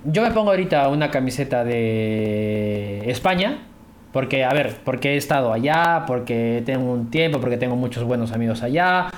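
A man with a Peruvian accent chatting casually on a podcast in an animated, rambling, slangy way. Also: mid-range voice, moderate pace. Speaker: podcast host.

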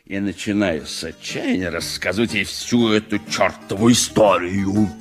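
An actor playing a gruff, evasive sea captain who dreads marriage, in a theatrical, sardonic radio play style with a husky voice. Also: male voice, fairly low pitch, moderate pace, soft background music, natural voice. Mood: sardonic, scheming. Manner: theatrical, blustering, sardonic asides. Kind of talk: Soviet radio play acting.

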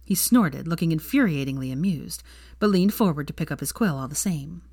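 A woman reading fan fiction aloud as expressive, scripted story narration. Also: low-pitched, brisk, slightly rough, no echo, clean studio sound.

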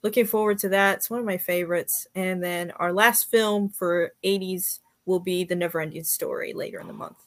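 A woman's voice: fairly low pitch, fairly even intonation, moderate pace, few pauses. A woman guesting on a podcast, chatting casually with an enthusiastic, easy-laughing fan manner.

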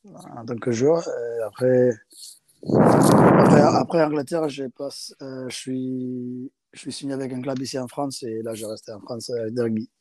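Give me a young man from South Africa, speaking hesitant French in a casual interview, with a mellow, dark tone. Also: mid-range voice, fairly even intonation, moderate pace, no room sound. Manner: hesitant, many fillers and restarts in second-language French. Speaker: interviewee, rugby player.

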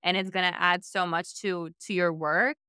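A woman interviews in a relaxed, conversational style, agreeing and adding her own points. Her voice sits a little low yet is bright and clear, recorded dry. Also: moderate pace, dry recording. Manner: affirming, builds on guest's points. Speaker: podcast host, interviewer.

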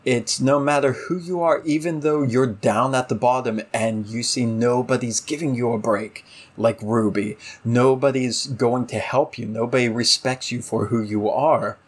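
A man's voice: mid-range voice, steady tone, normal speed, slightly rough. Earnest man in analytical podcast discussion. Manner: earnest, emphatic, builds points by repetition. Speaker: podcast co-host.

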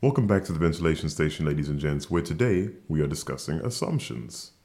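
A young man with a deep voice, co-hosting a casual podcast chat and teasing his co-host. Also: lively intonation, quick pace, heavy background noise. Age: young adult. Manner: teasing, jokey.

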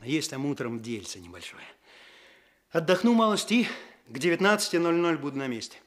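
Eager young police chief, lively lightish voice. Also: male voice, fairly high pitch, fairly quick. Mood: earnest, sceptical. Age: young adult. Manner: eager, earnest, insistent. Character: earnest, impatient, bookish young police chief. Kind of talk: radio play acting.

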